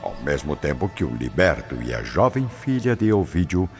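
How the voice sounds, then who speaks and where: A man with an extremely low, booming voice narrates a radio drama in a solemn, measured style. His intonation is lively and shaped. The audio is a bit muffled, with prominent music beneath. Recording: slightly muffled with loud background music.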